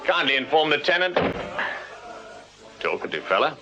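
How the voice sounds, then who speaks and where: A sardonic Victorian sergeant, curt and commanding in a mid-pitched voice. The radio drama delivery is wry, and the sound is dull with clear noise. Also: male voice, big pitch swings, moderate pace. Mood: curt, official. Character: dry, sardonic, unyielding Victorian police sergeant. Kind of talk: period radio drama acting.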